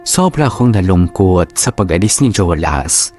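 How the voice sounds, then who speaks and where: Male Filipino storyteller-host, lowish voice, reading a listener's letter over background music. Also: very animated, moderate pace. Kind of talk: first-person story narration.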